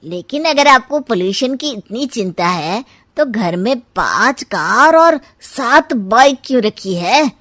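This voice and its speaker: A woman voicing a skeptical in-law in a cartoon family, doubting and deliberate. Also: fairly high pitch, animated, fairly bright tone, dry recording, clean studio sound, full character voice. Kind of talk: cartoon dialogue, Hindi moral story.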